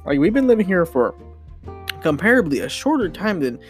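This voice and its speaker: A young man hosting a solo podcast, rambling excitably with casual slang and frequent stumbles and restarts. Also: high-pitched, fairly quick, faint music behind. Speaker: podcast host.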